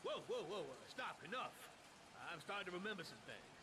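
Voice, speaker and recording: Streetwise gravelly thug in a game. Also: male voice, high voice, very animated, brisk, background noise. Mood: frightened, pleading. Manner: streetwise, cursing slang. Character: foul-mouthed aggressive criminal mechanic, cornered and blustering. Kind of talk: dramatic video game acting. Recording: loud music over the voice.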